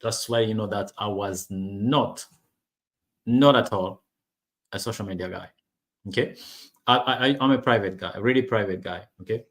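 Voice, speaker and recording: A man from Rwanda in his thirties speaks English, which is not his first language, in a voice on the lower side. His casual talk to camera is halting, full of restarts and fillers as he searches for words. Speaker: online business vlogger.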